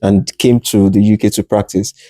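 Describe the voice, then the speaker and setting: Low-voiced male interview host. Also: flat delivery, normal speed, dry recording. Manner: warm affirmations, frequent "wow" and "okay". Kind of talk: casual interview chat.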